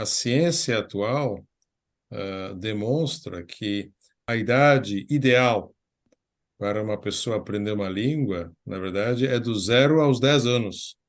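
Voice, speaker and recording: A man hosting a panel discussion, explaining points with fillers and passing the word to other speakers. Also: fairly low pitch. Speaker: panel host. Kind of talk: moderating a panel talk.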